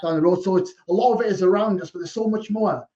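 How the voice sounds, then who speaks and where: A man's voice: sits high, quick pace, dark tone. A man from the Wrexham area, hosting a casual podcast chat, agreeable and joking. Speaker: podcast host. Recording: dull lo-fi sound.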